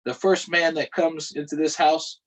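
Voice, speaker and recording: A man's voice: on the high side, flat delivery, normal speed, voice pushed up, lightly acted. A man reading aloud, voicing a fierce enslaved mother who threatens the men who come for her son. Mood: defiant. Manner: defiant, threatening.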